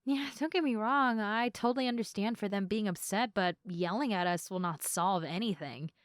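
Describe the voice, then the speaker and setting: A woman playing a sleepy, affectionate girlfriend in an intimate ASMR roleplay, chatting softly. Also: medium pitch, moderate pace, dry recording, studio quality, lightly acted. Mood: chatty, mildly exasperated.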